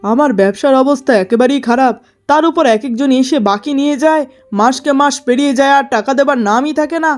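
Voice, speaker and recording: A woman's voice: high voice, quick pace, faint music behind, lightly acted. A woman voicing a domineering, fault-finding merchant husband in a cartoon story, stern and complaining. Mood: weary, complaining. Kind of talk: melodramatic cartoon story acting.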